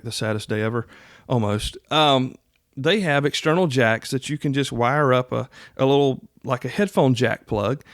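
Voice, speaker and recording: A middle-aged man with a medium-pitched voice talks in a very dry-sounding space. He hosts a podcast, teaching in a casual, folksy manner, as if chatting directly with the listener. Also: dry recording. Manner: folksy, conversational. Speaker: podcast host. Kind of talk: casual instructional podcast talk.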